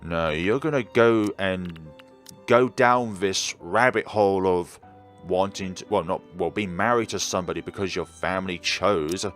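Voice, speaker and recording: A man's voice: sits low, measured pace, a bit bright, soft background music. A man casually commenting on a visual novel as he plays, chatty and often laughing. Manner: chatty, laughing, reacting to the story. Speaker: let's play host.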